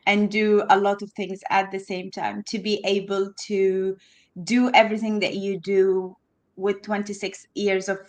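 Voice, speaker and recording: Relaxed, hesitant woman host. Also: mid-range voice. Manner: hesitant, many fillers and restarts, relaxed. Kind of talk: casual online interview.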